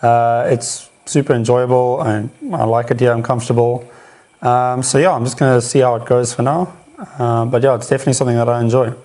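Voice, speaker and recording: A young man from the Cape Town area speaks at an ordinary pitch. He answers viewer questions modestly and briefly, with plenty of "um"s, in a casual interview. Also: little pitch movement, a little fast, warm tone. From South Africa, Cape Town region. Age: young adult. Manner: short, modest answers with fillers. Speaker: cameraman, guest.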